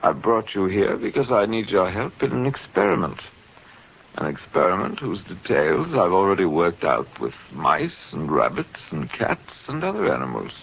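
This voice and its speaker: A man playing a coolly taunting middle-aged kidnapper in a 1940s radio drama, with smug, formal, deliberate delivery. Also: low-pitched, sing-song, gravelly, breathy edge, full character voice. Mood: cool menace. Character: tall sinister fifty-year-old kidnapper, coolly taunting experimenter. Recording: muffled vintage 1940s radio recording.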